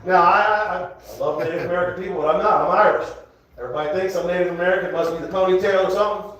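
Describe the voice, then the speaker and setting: A man's voice: high voice, fairly even intonation, rapid-fire, fairly bright tone, highs cut off. A man in his sixties doing a rambling, self-mocking stand-up set on a comedy club stage, fired up and bantering with the crowd. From Florida. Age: 60s. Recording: echoey club from a distance with crowd. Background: audience laughing.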